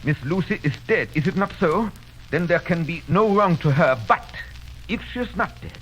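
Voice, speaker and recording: Grave old-radio vampire hunter doc, halting and deliberate, dull faintly hissy recording. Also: male voice, sits high, animated, fairly bright tone. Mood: insistent. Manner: solemn, deliberate, halting foreign phrasing. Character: grave learned foreign vampire hunter doctor, solemn and commanding. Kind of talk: 1930s radio drama acting.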